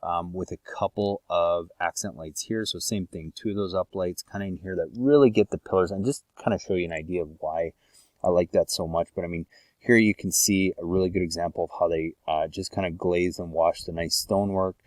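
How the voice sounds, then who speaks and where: A man giving a casual, relaxed voice-over consultation, explaining a lighting plan with many ums and uhs. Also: deep voice, fairly quick, no echo. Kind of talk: narrating an online design consultation over photos.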